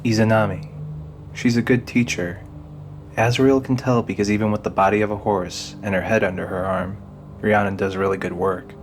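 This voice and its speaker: A man's voice: fairly low pitch, steady tone, moderate pace, slight background noise. A man narrating a scripted fantasy audio drama in the role of storyteller. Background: music under the narration.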